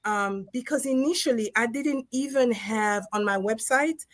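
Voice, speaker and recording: A woman's voice: a little high, unhurried, no echo, clean studio sound. A woman from Congo whose first language is French, a dentist and co-author talking through her experience in a casual livestream, reflective and full of fillers. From Democratic Republic of Congo. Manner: reflective, storytelling with frequent fillers and laughs.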